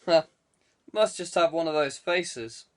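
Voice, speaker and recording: Guy playing a cagey clever man in an audiobook, polite, measured, slightly high voice. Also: lightly acted. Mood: guarded politeness. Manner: evasive, courteous, measured. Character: guarded, clever man fending off police questions. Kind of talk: audiobook character dialogue.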